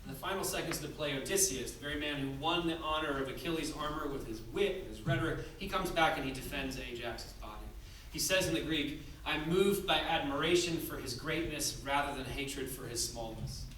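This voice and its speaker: A man speaking as director and host to a theater audience, explaining in an earnest, measured way. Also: a little high, fairly bright tone. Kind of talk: public address to a theater audience. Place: theater, addressing live audience. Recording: echoey theater, distant, noisy.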